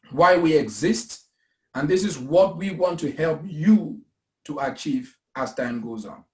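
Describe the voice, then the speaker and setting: Cameroonian guy in his 30s selling investing, kinda high voice, repeats stuff like a preacher, roomy echo. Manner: earnest, repetitive, preacher-like emphasis. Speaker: investment company founder. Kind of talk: casual pitch talk. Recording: echoey room.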